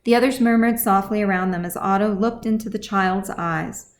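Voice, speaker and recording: A woman's voice: mid-range voice, steady tone, normal speed. A woman reading scripted fantasy fiction as audiobook narration, as the author of the story.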